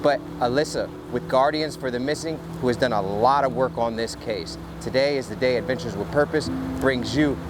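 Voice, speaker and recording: A man hosting an investigation series, presenting to camera in a deliberate, emphatic way. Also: mid-range voice. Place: outdoors at a gas station. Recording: noisy outdoor roadside audio.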